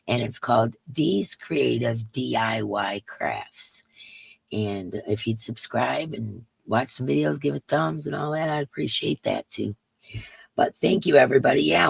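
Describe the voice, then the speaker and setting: A woman with a raspy voice hosting a casual livestream in her yarn room, greeting chat viewers by name in a sing-song way and sounding emotional. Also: extremely low pitch, no echo. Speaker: livestream host.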